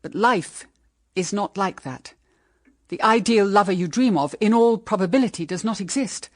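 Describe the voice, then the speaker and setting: An actress playing a grave, practical middle-aged British mother in a period radio drama, speaking in measured, earnest, formal phrases. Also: medium pitch, no room sound, clean studio sound, natural voice. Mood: gentle, grave. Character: grave, pragmatic widowed mother guarding her daughters.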